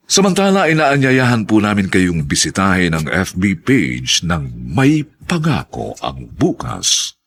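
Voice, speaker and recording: A man working as a radio drama announcer, reading a formal, theatrical scripted sign-off in a husky, gravelly voice. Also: low-pitched, fairly bright tone.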